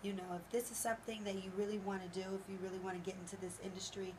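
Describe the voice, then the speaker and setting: A young woman, a recording artist and radio personality, answers interview questions in a relaxed way with many fillers in a small studio. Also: medium pitch, racing, background noise. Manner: relaxed, plenty of fillers, agreeable. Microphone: studio boom mic.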